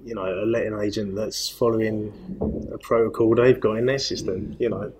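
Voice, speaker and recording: A man's voice: lowish voice, steady tone, moderate pace, darkish tone. A man, a guest on an interview, speaking bluntly and plainly. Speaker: guest, property investor. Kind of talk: casual interview chat.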